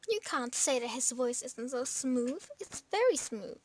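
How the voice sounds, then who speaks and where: A woman giving quiet, informal commentary as she plays a video game. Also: high-pitched, lively intonation, measured pace. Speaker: gaming video creator. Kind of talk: casual gameplay commentary. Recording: loud game music over the voice. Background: loud game music.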